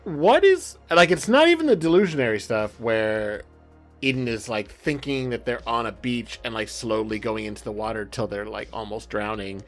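Casual male podcast co-host, average pitch, very animated intonation, loose and trailing phrasing. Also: moderate pace, faint music behind. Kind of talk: casual chat.

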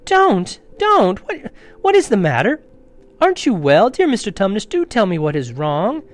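A man's voice: high-pitched, huge pitch swings, normal speed, pitched above the performer's own voice, full character voice. A man voicing a kind, anxious young girl in a storybook reading, polite and pleading. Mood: distressed concern. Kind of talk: dramatic storybook character reading.

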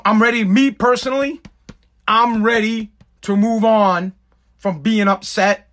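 High-voiced, fervent man. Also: bright, forceful tone. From United States. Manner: emphatic, profane, repetitive. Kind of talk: impassioned monologue to viewers.

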